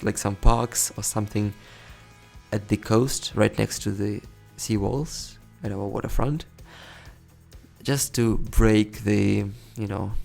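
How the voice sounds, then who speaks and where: A man's voice: on the low side, vocal fry, a little mellow. A young man giving casual, rambling commentary over a city-building game, correcting himself and chuckling often. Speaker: let's play host.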